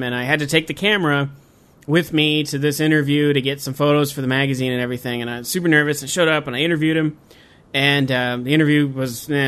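A man's voice: fairly high pitch, a bit bright. A man in his thirties co-hosting a casual podcast, rambling with restarts and fillers and swearing now and then. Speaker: podcast co-host. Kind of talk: casual chat.

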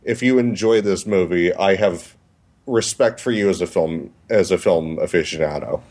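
Male co-host, lowish voice, thoughtful, halting delivery with restarts. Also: darkish tone. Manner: hesitant, many restarts, thoughtful. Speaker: podcast co-host. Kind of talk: casual podcast chat.

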